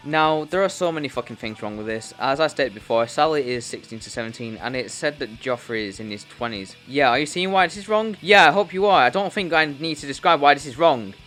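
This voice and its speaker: A man's voice: mid-range voice, animated, fairly quick, hardly any pauses, fairly bright tone. A man hosting a YouTube commentary video, talking in a blunt, informal, sweary way as he reads a loose script. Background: music.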